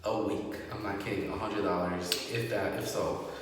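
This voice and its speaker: A man's voice: lowish voice, steady tone, normal speed. A man in his early thirties talking casually with a friend, rambling and repeating himself with many fillers. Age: 30s. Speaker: guest friend. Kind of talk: casual chat. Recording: echoey room, distant mic, faint background hum.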